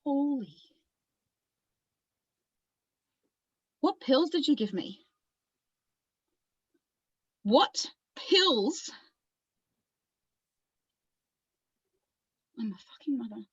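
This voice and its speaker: Actress as Australian female psychiatric patient, agitated, halting repetitions, bright forceful tone, dry muffled recording, dramatic monologue. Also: a little high, expressive, no echo. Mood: shocked confusion. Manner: volatile, confrontational, halting and repeating.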